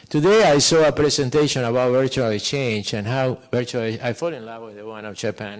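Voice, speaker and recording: A man with a non-native English accent moderates a panel discussion. His voice sits a little high, and he talks in an animated, rambling way, often checking in with the room. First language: not English. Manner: animated, rambling, asks the audience questions. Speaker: moderator, professor.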